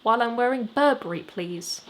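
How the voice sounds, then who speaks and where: A woman's voice: on the high side, expressive, moderate pace, slight background noise, close to an everyday voice. Woman as fussy young male singer, dry, exasperated delivery, audiobook dialogue.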